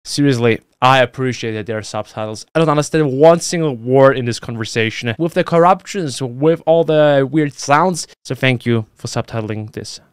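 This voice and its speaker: A man's voice: mid-range voice, moderate pace, hardly any pauses, slight background noise. A young man giving casual, exclamatory, sweary reaction commentary into a close desk microphone in a home gaming room. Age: young adult. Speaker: YouTube reaction host. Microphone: close desk microphone on boom arm.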